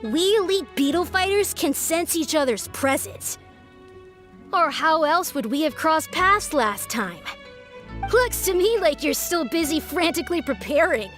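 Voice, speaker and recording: A smug young boy challenger from a video game, high and animated. He talks with grand, taunting confidence while faint music plays behind. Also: measured pace. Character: cocky young boy, self-styled beetle fighting grandmaster. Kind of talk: video game character acting.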